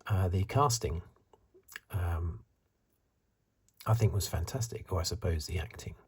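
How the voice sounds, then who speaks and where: A man giving soft-spoken, partly whispered ASMR review commentary in a breathy, hesitant, musing way. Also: deep voice, unhurried. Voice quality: breathy, hushed. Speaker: host of a film review video.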